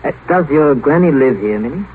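An actor in a 1950s radio drama playing a glib, callous doctor with a mocking, breezy manner. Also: male voice, a little high, lively intonation, fairly quick, phone-quality sound, natural voice. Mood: casual, coaxing. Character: sardonic, glib, callous doctor, teasing and unafraid. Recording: thin, noisy old radio broadcast.

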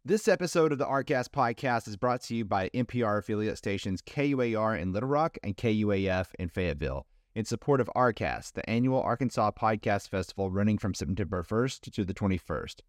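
Deep-voiced American man hosting an interview. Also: animated, fairly quick, few pauses, dry recording, studio quality. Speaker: podcast host interviewing a guest. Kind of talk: conversational interview.

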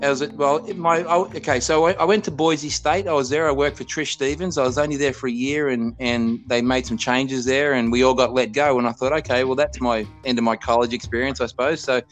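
An Australian man in his fifties talks through his coaching career in an easy, rambling way, backing up and correcting himself as he goes. His voice sits at a middle pitch for a man. Faint music runs underneath. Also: fairly even intonation, fairly quick, hardly any pauses, poor audio. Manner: rambling, self-correcting storyteller. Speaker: college basketball head coach. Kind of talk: casual podcast interview.

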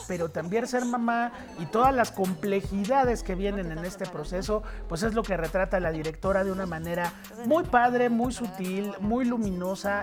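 A man with a Mexican accent giving conversational film reviews on a morning show, animated and emphatic. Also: sits high. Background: clear background music.

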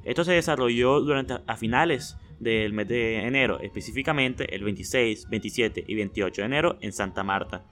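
A man hosting a student school podcast, reading out school news and announcements at an even, mid-range pitch. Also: brisk, hardly any pauses, faint music behind. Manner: reads news announcements, then a loud energetic intro.

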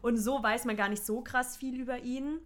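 A young woman co-hosting a podcast, chatting casually about music, talking in quick bursts and laughing often. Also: a little high, steady tone, slight background noise. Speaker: podcast co-host.

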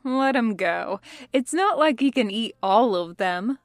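A woman's voice: fairly high pitch, animated, measured pace, no room sound, soft background music. A woman voicing a teasing, theatrical, easygoing schoolboy with playful, dramatic delivery in an audiobook story.

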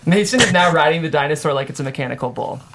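A woman's voice: low-pitched, expressive, racing, a bit bright. A woman narrating screenplay stage directions in a scripted table-read style.

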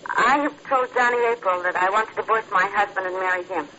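A woman's voice: mid-range voice, a little fast, pushed and bright, lightly acted. A woman acting a cool, impatient, scheming wife in an old radio drama. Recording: noisy, muffled old radio recording.

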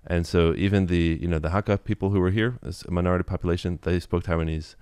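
A man guest in an interview, explaining at length in a relaxed way with many "you know"s and restarts. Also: low-pitched, clean tone, no echo, studio quality. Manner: quick, thoughtful, full of "you know" and restarts.

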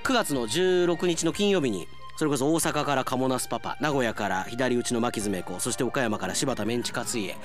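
A man's voice: on the high side, a bit bright. A man hosting radio, chatting casually and speaking directly to listeners as an announcer.

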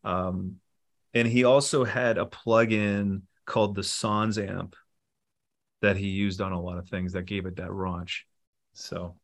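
Low-voiced man rambling about studio work. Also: a little slow. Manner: relaxed, rambling, with many fillers. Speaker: musician and producer guest. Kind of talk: casual interview talk.

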